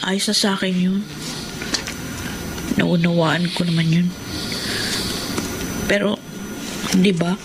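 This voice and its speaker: A woman's voice: lowish voice, fairly even intonation, takes it slowly, long pauses, noisy background. A woman playing a stubborn, wounded young daughter in a Filipino radio drama, speaking with insistent emotion. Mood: withdrawn, firm.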